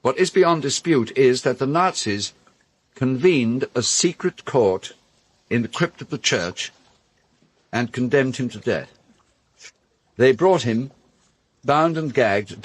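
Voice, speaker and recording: An actor playing a grave man recounting a grim wartime story in a radio drama, with measured, deliberate phrasing and dramatic pauses. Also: medium pitch, expressive, breathy edge, poor audio.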